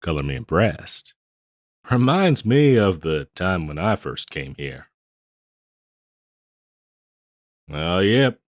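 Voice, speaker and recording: Man acting as old frontier barkeep, low-pitched, dry close sound, folksy, rambling, gently teasing, audio roleplay. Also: big pitch swings, unhurried, dry recording. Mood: folksy, amused. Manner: folksy, rambling, chuckling drawl with hesitations. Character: folksy, teasing old Western bartender, ex-drifter singer. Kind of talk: intimate scripted roleplay audio, addressed to listener.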